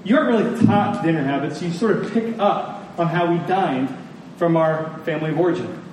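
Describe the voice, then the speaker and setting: A man preaching a sermon to a congregation in an earnest, deliberate manner, repeating phrases for emphasis. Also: a little high, darkish tone. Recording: very echoey room, distant mic, faint noise.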